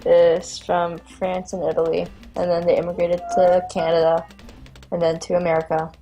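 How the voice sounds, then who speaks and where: A man's voice: high-pitched, completely flat delivery, normal speed, dark tone, soft background music, poor audio. A male co-host chatting casually over a video game, playful and chiming in with jokes. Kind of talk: casual let's-play chat.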